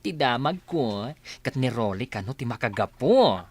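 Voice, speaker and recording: Flamboyant, wildly swooping gossipy friend. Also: male voice, medium pitch, sing-song, normal speed, breathy edge. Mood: gossipy, excited. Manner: exclamatory, teasing, swooping delivery. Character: flamboyant, gossipy male best friend, excitable and nosy. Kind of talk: radio drama acting.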